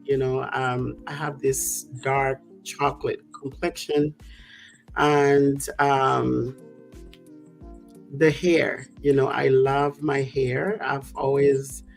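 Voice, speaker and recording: A young adult woman co-hosting a casual talk show, with a deep voice and a relaxed, thoughtful way of talking full of pauses. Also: vocal fry, soft background music. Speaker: co-host. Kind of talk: casual chat.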